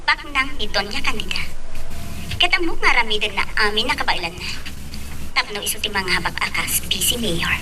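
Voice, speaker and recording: A woman's voice: medium pitch, animated, fairly quick, lightly acted. Worried Ilocano councilwoman on noisy recording acted. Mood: worried, deferential. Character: worried, deferential female town councilor. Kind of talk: amateur Ilocano radio-style drama acting. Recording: distant, quiet, noisy mic.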